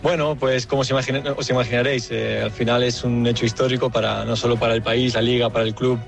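A man's voice: medium pitch, flat delivery, quick pace, vocal fry, faint music behind. A man with a Castilian Spanish accent, a footballer giving casual interview answers.

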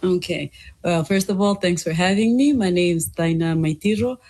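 A woman from Fiji, answering interview questions conversationally and reflectively, pausing to find her words. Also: on the low side, darkish tone. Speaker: interview guest, English teacher and linguist.

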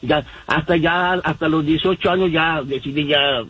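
A man's voice: a little high, normal speed, over a noisy crowd, rough recording. A man from Mexico, calling in to a radio show and talking in a rambling way with many fillers. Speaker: caller. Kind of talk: phone-in radio call. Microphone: phone line.

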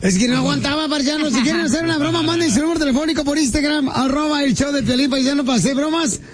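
A man hosting a radio show, making excited, hyped announcements. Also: extremely high pitch, quick pace, crowd noise. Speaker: radio host.

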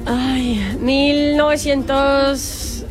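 High-voiced chatty woman co-host. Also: steady tone, very slow, music in the background. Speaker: radio co-host. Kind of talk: live radio chat.

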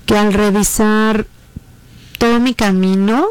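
Mexican woman, fifties, radio guest, smooth clear voice, dry close sound, earnest, halting fillers. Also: mid-range voice, fairly even intonation, no room sound. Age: 50s. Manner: earnest, reflective, many fillers and restarts. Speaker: guest, lawyer and public servant. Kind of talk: casual radio interview. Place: radio booth.

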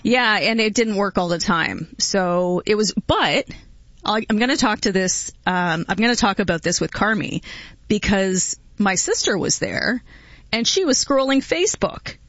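A woman's voice: medium pitch, lively intonation, unhurried, a bit bright, no echo. Chatty woman radio host, dull dry sound. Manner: chatty, laughs often, many fillers. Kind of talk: casual radio chat.

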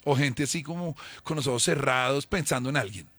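A man's voice: mid-range voice, brisk, no echo. A middle-aged man with a Colombian accent, a singer telling stories in a casual interview, emotional and full of slang and swearing. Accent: Colombian Spanish. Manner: animated, emotional, swears freely, acts out quotes. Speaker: singer and band member.

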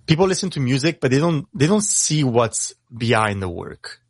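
A man with an Italian accent, speaking English as a second language, who talks fast as a rapper guest on a radio show and often repeats words as he starts a thought. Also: mid-range voice, big pitch swings, slightly rough, dry recording. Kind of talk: casual radio interview.

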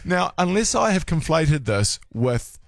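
A man's voice: on the high side, sing-song, unhurried, vocal fry, no room sound. Kiwi man chatting on radio. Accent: New Zealand. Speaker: radio breakfast host. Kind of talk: casual radio banter.

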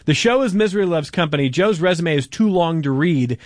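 Upbeat radio host, dry studio sound. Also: male voice, a little high, animated, a little fast, no room sound. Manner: upbeat, plugs shows and dates. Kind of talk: casual radio interview.

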